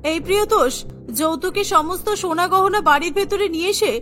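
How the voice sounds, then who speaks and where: A woman's voice: very high voice, fairly quick, fairly bright tone, soft background music. A woman voicing a harsh, greedy middle-aged mother-in-law in a cartoon, scolding and disdainful. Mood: disgusted, grudging. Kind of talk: Bengali cartoon melodrama voice acting.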